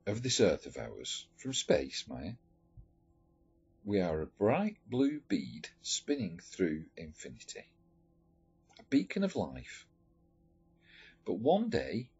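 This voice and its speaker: Deep, husky male storyteller. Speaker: storyteller reading aloud. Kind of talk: expressive read-aloud of a letter story. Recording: dull lo-fi recording with music behind. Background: background music.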